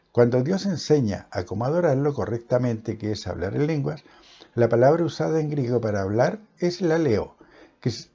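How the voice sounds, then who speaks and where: A man preaching a Bible-teaching sermon in Spanish, speaking with emphasis and deliberate pauses. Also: medium pitch, gravelly, dark tone, slightly rough. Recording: dull lo-fi recording.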